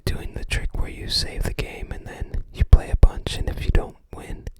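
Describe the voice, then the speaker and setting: Whispered ASMR, close-mic, hesitant, rambling, short unhurried phrases. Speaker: ASMR creator. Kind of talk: whispered ASMR book browsing.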